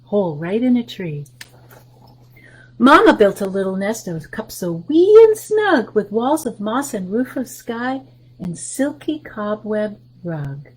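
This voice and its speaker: Soft-spoken woman reading to kids. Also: medium pitch, big pitch swings, slow pace, breathy edge, poor audio. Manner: gentle, explains pictures between rhymes, often drops to a soft whisper. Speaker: library story time host. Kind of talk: reading a picture book aloud to children.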